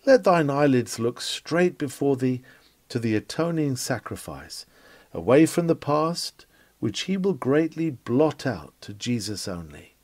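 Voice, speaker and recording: A man's voice: medium pitch, no room sound. A man reading a historical sermon aloud in an earnest, exhortatory and rhetorical manner, with dramatic delivery.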